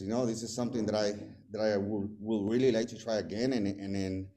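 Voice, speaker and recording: A man in his forties with a Salvadoran Spanish accent speaks at a recovery meeting, nervous and halting. His voice sits fairly low and has a dark, mellow tone. He fills his sentences with fillers and restarts as he feels his way through the story. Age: 40s. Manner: hesitant, many fillers and restarts. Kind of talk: personal recovery testimony.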